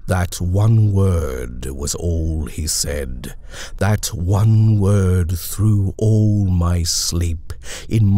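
Deep, slow, gravelly man reciting horror poetry. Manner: brooding, deliberate, often hushed. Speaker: poetry reader. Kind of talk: dramatic horror poem recitation. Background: eerie sound design ambience.

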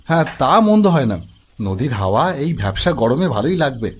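A man's voice: mid-range voice, sing-song, normal speed, slightly rough. A man voicing an eager young city visitor in a cartoon ghost story, with music behind. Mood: enthusiastic.

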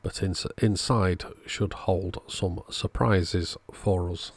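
A man with a very low voice and a gravelly fry narrates a repair job casually, as a hobby-channel host would. The sound is dry, with no room echo to it. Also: steady tone, slow.

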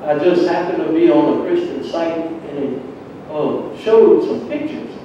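A man giving a personal testimony in church in a conversational, halting storytelling way, acting out bits of dialogue. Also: a little high, lively intonation, mellow. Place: large church sanctuary, indoors. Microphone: far camera at back of the room. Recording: echoey church hall, distant mic, muffled, noisy.

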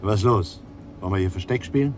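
An actor playing a suspicious, hardened criminal, curt and sardonic, in a German radio crime drama. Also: male voice, lowish voice, fast, noisy background, close to an everyday voice. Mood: impatient, wary.

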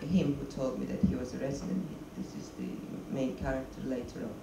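A middle-aged woman with a gravelly, creaky voice, speaking into a handheld mic on a stage. She pauses often to hunt for words in English. The sound is echoey and noisy, picked up from a distance in a screening hall. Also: a bass voice, flat delivery. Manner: searching for words, non-native English. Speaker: documentary filmmaker, guest. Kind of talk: stage Q&A interview.

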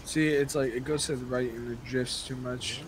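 A man's voice: mid-range voice, fairly even intonation, moderate pace, heavy background noise. A man talking casually and profanely in slang while playing an online roleplay game. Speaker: gaming video creator playing online roleplay.

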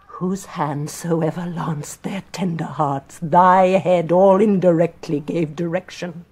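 A woman's voice: on the low side, fairly even intonation, slow pace, a little mellow, husky. An actress playing a bitter, defiant grieving queen in intense theatrical Shakespearean verse. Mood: bitter grief. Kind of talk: Shakespearean tragic verse acting.

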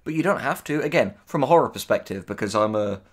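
A man with a medium-pitched voice chats as a co-host. He is opinionated and emphatic, keeping the informal style of a casual discussion. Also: animated, fast talker. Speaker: writer co-hosting a reaction discussion.

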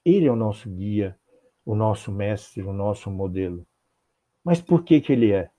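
A man in his sixties with a Brazilian accent, giving a religious talk from a home office over a video call, in measured, rhythmic phrases. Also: lowish voice, expressive, dark tone. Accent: Brazilian Portuguese. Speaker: spiritist lecturer. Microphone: earphones with mic on a video call.

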